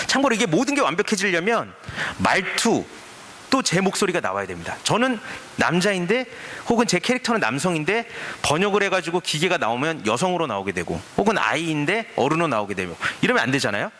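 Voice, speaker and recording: Lively, bright-voiced man giving a talk. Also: a little high, a little hiss or hum. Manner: lively explanatory talk with rhetorical questions. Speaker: forum speaker. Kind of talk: conference presentation. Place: conference stage.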